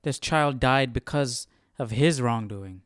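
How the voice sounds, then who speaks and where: A man's voice: medium pitch, takes it slowly, slight background noise. A man hosting a livestreamed study session, giving casual, reflective commentary and questioning things as he thinks aloud. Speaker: Bible study livestream host.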